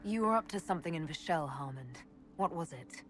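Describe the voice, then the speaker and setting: A woman voicing a level-headed, responsible leader in a video game, measured, serious and reproving. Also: deep voice, expressive, a little hiss or hum. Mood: stern.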